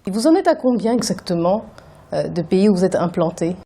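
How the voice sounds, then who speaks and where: A woman's voice: medium pitch, sing-song, fairly quick, mellow, breathy edge. A woman interviewing a guest for a TV news channel, pressing him with probing follow-up questions.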